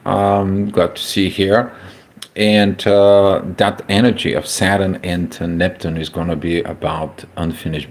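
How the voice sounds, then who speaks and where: A man with a Bulgarian accent talks through an astrology forecast in a deep, low voice. His delivery is halting and loose, full of filler sounds and restarts as he reads a chart and greets viewers in a casual livestream. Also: gravelly.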